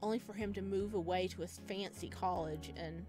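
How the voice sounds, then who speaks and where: A woman with a mid-range voice plays through a visual novel. She reads the text aloud in a playful, expressive way and adds chatty reactions, with music clearly behind her. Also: medium pitch, normal speed. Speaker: streamer playing a visual novel.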